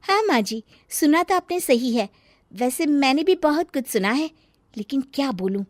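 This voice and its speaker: A woman's voice: sits high, animated, normal speed, no room sound, clean studio sound, pitched above the performer's own voice. A woman voicing a proud, easily piqued young daughter-in-law in a moral story, with pert, sarcastic retorts. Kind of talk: acted dialogue in a moral story.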